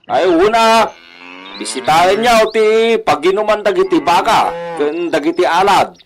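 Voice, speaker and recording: High-voiced, bossy ranch boss. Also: male voice, lively intonation, moderate pace. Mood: commanding. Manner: instructing, authoritative. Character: commanding male ranch boss handing out orders. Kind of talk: radio drama acting.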